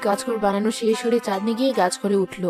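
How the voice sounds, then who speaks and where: A female narrator tells a scripted fairy tale in a storytelling style. Music plays clearly behind the voice. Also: medium pitch, steady tone, quick pace.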